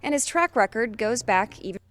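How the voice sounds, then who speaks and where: A woman's voice: a little high, expressive, a little fast, a little hiss or hum. A woman reporting a scripted television news story.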